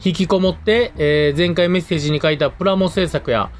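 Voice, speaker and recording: A man's voice: fairly high pitch, fairly quick, a little hiss or hum. A man with a Kansai Japanese accent co-hosting a casual radio show, chatting and reading out listener mail. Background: background music.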